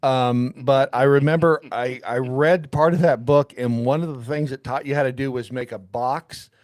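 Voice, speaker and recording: A man's voice: mid-range voice, steady tone, normal speed, no echo, clean studio sound. A man in his sixties from California, co-hosting a casual chat and reminiscing. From California, United States. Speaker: co-host.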